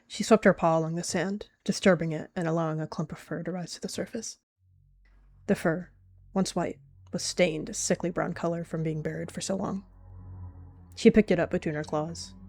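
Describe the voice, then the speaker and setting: A woman calmly narrating a roleplay story in a measured way. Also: fairly low pitch.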